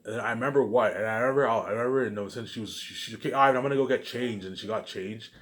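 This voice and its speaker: A man with a lowish voice rambles through a personal monologue at a quick clip. He repeats himself and restarts often, pushing hard at the start of a thought and dropping into muttered tags at the end. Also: fairly bright tone. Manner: repetitive, restarting sentences, constant verbal tags; emphatic openings trailing off into muttered asides. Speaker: vlogger.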